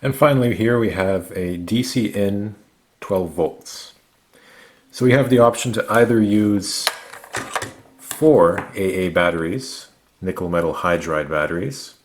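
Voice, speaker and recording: Low-voiced man narrating a gadget review. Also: measured pace, a little hiss or hum. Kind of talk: product review narration, explanatory.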